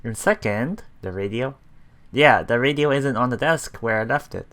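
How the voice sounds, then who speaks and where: A man doing casual let's play commentary, haltingly reading and paraphrasing story text aloud while thinking out loud. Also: medium pitch. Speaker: let's player.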